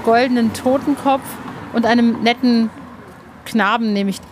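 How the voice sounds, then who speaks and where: Chatty woman reporting on location, higher voice. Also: fairly even intonation, normal speed. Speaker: podcast host and reporter. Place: on location at a small museum, outside and in stairway. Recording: ambient noise, on-location recording.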